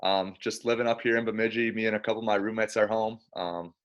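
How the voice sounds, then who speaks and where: A young man in his early twenties with a low voice. He talks in a casual, easygoing style suited to a chat or to reading a picture book aloud. The recording is a little muffled and lo-fi. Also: little pitch movement, fast talker. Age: early 20s. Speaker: college basketball player, guest. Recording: dull lo-fi sound.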